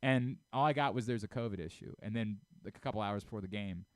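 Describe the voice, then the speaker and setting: A man's voice: on the low side, fast, clean tone, dry recording, clean studio sound. An American man hosting a casual sports talk radio show, chatting and reading ads. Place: radio studio.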